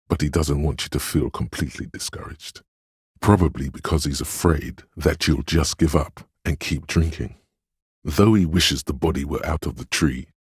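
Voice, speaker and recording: An extremely deep, heavily husky male inner voice speaks straight into a troubled detective's head. The delivery is measured, intimate and knowing, like scripted game narration, recorded dry and close. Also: dry recording, exaggerated voice.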